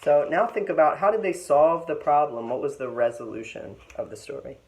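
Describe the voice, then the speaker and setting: A woman teacher reading a children's story aloud in an expressive narrating style. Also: low voice, a little fast, a little hiss or hum.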